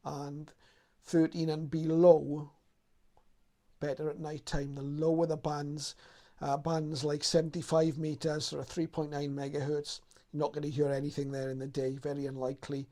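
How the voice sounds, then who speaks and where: Guy with a bit of a higher voice hosting a how-to vid, just explaining stuff casually. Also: completely flat delivery, moderate pace, dark tone. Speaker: host of a shortwave radio how-to video. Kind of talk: casual unscripted demonstration.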